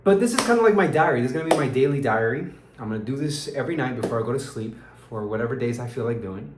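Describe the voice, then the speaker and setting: A man's voice: medium pitch, expressive, very fast. A young man with an American accent talks through his evening video diary. He rambles, restarts his sentences and drops slang like dude and bro, and he sounds tired. The voice bounces around an echoey room over a faint bed of ambient noise. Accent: American English. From Peru. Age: young adult. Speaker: vlogger. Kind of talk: casual diary vlog.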